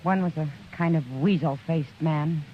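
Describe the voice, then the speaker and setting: A woman's voice: sits low, steady tone, a little slow, slight background noise. An actress playing a worried, caring frontier saloon woman in a 1950s radio drama, earnest and pleading. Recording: dull vintage radio broadcast, faint music.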